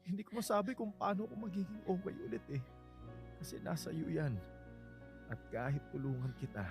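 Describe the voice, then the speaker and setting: A man's voice: a little high, gravelly. A man acting an earnest, grieving best friend in a radio drama, comforting and pleading in a Filipino accent. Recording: studio voice over prominent dramatic music.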